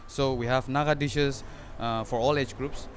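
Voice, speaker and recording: A young man, a restaurant owner, answering questions in an on-camera news interview, earnest and halting with frequent restarts. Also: mid-range voice, steady tone, slight background noise. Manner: hesitant, restarts often, earnest. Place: indoor restaurant dining area. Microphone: handheld reporter mic.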